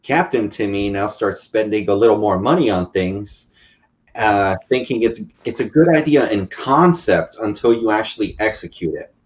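A man's voice: lowish voice, animated, normal speed. A man in his twenties chatting casually as a podcast guest, explaining things in everyday language. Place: living room couch, indoors. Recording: slightly muffled video-call audio.

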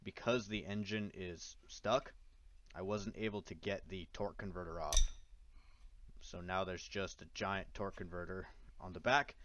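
A man's voice: deep voice, fairly even intonation, normal speed, a bit bright, a little hiss or hum. A man narrating a casual how-to video as its host, with wry, self-deprecating asides, in a voice-over. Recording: dull, lo-fi, dry voice-over.

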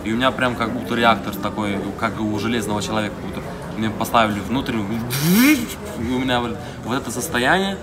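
A man's voice: mid-range voice, brisk, hardly any pauses, background noise. A young man from Moldova telling a rambling, slangy story as a testimonial, filmed indoors in a hotel lobby. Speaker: training participant giving a testimonial. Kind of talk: casual testimonial. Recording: loud backing music.

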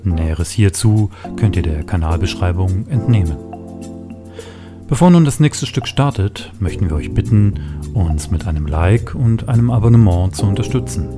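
A man's voice: low voice, lively intonation, normal speed. A man delivering a scripted welcome as a channel announcer. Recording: clear music bed behind voice. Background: music.

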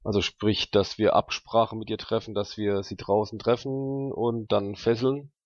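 Gravelly low-voiced man, halting. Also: level tone, dry recording. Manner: halting, thinking aloud. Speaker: tabletop role-player. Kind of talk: casual slangy in-character dialogue. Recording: dry, slightly dull sound.

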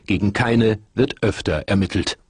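A man reading the scripted narration of a television documentary in an even, measured way. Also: on the low side, a little hiss or hum.

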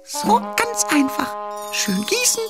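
Cartoon little boy king: tiny high voice, cheeky, naive, stubborn, music underneath. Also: big pitch swings, slow pace, exaggerated voice. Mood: eager, confident. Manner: playful, self-assured, naive. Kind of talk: children's cartoon voice acting.

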